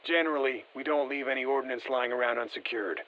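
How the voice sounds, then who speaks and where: Wry special forces operative, muffled radio. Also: male voice, mid-range voice, fast. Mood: sober, matter-of-fact. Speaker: game character voice actor. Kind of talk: scripted game voice lines, field commentary.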